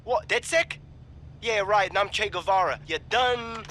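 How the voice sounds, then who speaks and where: A man's voice: sits high, a little slow, pushed and bright. A man playing a suspicious, foul-mouthed fugitive tech genius with a British accent in a video game, agitated and confrontational. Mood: threatening, suspicious. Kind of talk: video game cutscene acting.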